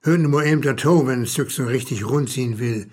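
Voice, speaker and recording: Radio play: a man as a matter-of-fact commentator, mid-pitched. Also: fairly quick, breathy edge, close to an everyday voice. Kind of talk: comic radio play dialogue.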